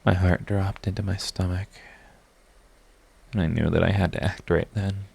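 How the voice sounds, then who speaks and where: A man's voice: sits low, flat delivery, normal speed, creaky, darkish tone, slightly rough, full character voice. A man playing a proud villain who is secretly devoted to his rival, in an intimate, emotional audio roleplay. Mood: somber, confessing. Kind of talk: dramatic ASMR audio roleplay.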